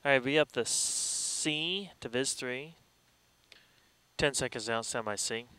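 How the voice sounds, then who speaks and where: Bright, crisp man calling newscast cues. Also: medium pitch, slow, low-quality recording. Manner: clipped commands, counting down, joking asides. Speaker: television newscast director. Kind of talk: calling live control room cues.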